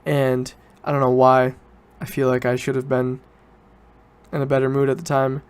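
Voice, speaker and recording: A man's voice: mid-range voice, completely flat delivery, moderate pace, clear, resonant tone. A young man, student age, talking casually and informally as a solo podcast host, rambling and correcting himself as he goes. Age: teens to young adult student.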